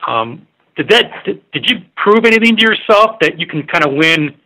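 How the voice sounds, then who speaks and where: A man working as a sports reporter, asking halting, rambling and informal questions on a media conference call. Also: fairly high pitch, big pitch swings, sharp tone, low-quality recording.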